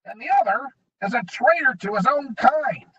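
A man reading an audiobook, voicing a sneering, bullying male goblin gang leader with high, snarling taunts. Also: lively intonation, moderate pace, pushed and bright, a little hiss or hum, exaggerated voice. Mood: menacing. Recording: dull lo-fi recording.

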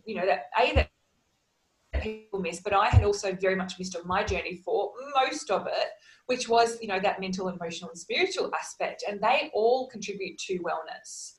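A woman in her thirties, a holistic wellness practitioner, telling her story in earnest, steady lists on a video call from a small room at home. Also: medium pitch, moderate pace, roomy sound, poor audio. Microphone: video call, laptop camera.

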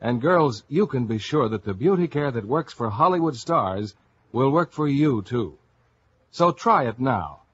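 A male announcer from 1950s radio delivers scripted sales copy. His mid-pitched voice is lively and slightly rough, heard through a dull vintage broadcast. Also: measured pace.

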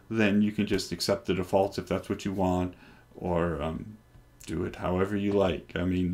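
A man in his forties on a headset mic, casually talking through a software install with many ums and restarts. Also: sits low. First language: English. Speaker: developer presenting hardware. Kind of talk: casual screen-recorded tech demo. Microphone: headset microphone.

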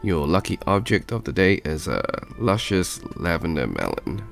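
A man's voice: sits low, huge pitch swings, gravelly, music in the background. A man casually commenting on a video game as he plays, sounding sick and nasal with a stuffy cold. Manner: slow, chatty, talks to viewers while playing. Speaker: gaming YouTuber.

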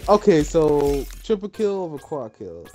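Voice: medium pitch, sing-song, unhurried, darkish tone, faint music behind. A person chatting casually while playing an online game, over voice chat.

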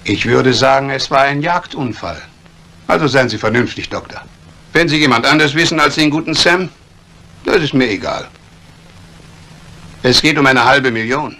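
A gravelly, sharp-edged male schemer from a 1950s radio thriller. He is a cold, calculating banker who stays menacingly polite while plotting. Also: medium pitch, normal speed, pauses often. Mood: quietly threatening. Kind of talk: 1950s German radio crime drama acting.

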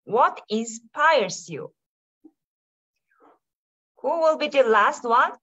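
A woman hosting a club meeting over a video call, posing questions and repeating them in an unhurried way. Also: fairly high pitch, animated, pushed and bright. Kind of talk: meeting moderation.

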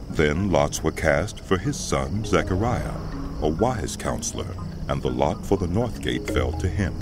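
A man narrating scripture for a dramatized audio Bible in a measured, solemn manner. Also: extremely low pitch. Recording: loud music bed under studio narration. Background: music.

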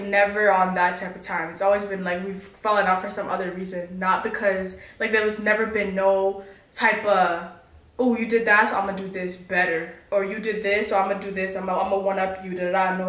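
A young woman from Massachusetts, co-hosting a podcast in a relaxed, chatty way. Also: mid-range voice, a little fast, reverberant, recorded from a distance. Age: young adult. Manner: laid-back, laughing reactions. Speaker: podcast co-host. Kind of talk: casual chat. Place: living room, on a green couch.

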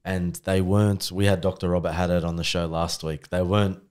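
A podcast co-host with a low, deep voice. He speaks in a measured, earnest way and reflects at length on faith and upbringing. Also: level tone, darkish tone, clean tone. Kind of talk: casual conversation.

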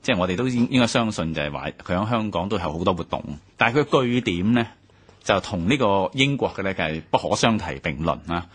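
A man with a Hong Kong Cantonese accent, co-hosting a radio history talk in quick, chatty exchanges. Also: deep voice, lively intonation, breathy edge. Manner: quick, chatty, laughs easily. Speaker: radio co-host.

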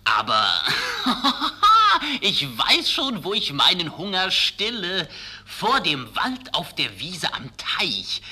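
A man's voice: a little high, sharp tone, husky, full character voice. A man playing a greedy, sly fox in a children's fairy-tale audio play, savoring his words theatrically. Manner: gloating, savoring, theatrical delivery.

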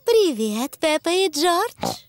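A friendly cartoon lady cat. Her voice is high and lively, speaking slowly, with noise behind it. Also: heavily acted. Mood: friendly, explaining. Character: friendly grown-up female office cat. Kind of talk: preschool cartoon dub.

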